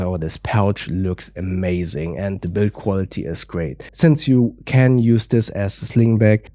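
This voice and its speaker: A man's voice: fairly low pitch, warm tone, no room sound, clean studio sound. Congested man reviewing gear. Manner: careful, methodical, hedging with "a little bit". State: stuffy nose from a cold. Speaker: product reviewer.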